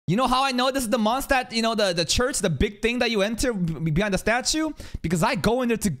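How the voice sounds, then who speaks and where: A man's voice: very high voice, expressive, fast talker, a bit bright. A young man giving casual live reaction commentary, exclamatory and swearing freely, on a close microphone. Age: young adult. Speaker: streamer reacting to a game trailer. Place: small room indoors. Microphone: close microphone on a boom arm. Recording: music from the trailer behind. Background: music.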